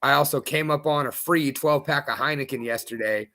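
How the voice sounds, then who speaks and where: A man's voice: medium pitch, brisk, gravelly, pushed and bright. A man co-hosting a casual podcast, bantering in a playful, wordy, mock-grand way. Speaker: podcast co-host.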